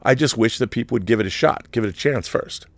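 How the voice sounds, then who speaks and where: A man's voice: mid-range voice, fast talker, creaky, no echo. A man hosting a livestream, talking casually and reading things out, with conversational asides and repeated phrases for emphasis. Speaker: livestream host. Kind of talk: casual live commentary, reading out comments and articles.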